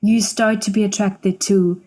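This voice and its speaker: A woman's voice: mid-range voice, little pitch movement, a little slow, darkish tone. A woman, a German speaker, talking casually and reflectively as an interview guest. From Switzerland. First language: Swiss German. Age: 20s. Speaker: interview guest, singer-songwriter.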